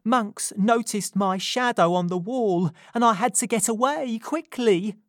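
A woman's voice: mid-range voice, measured pace, no room sound, clean studio sound, pitched above the performer's own voice, lightly acted. A woman voicing a frightened young woman who speaks in an urgent, pressing way in a storybook reading.

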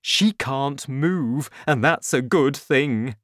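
A woman voicing a kind but greedy, excitable father in a children's storybook, loud and exclaiming. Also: extremely low pitch, slow pace, dry recording, clean studio sound. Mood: dismissive.

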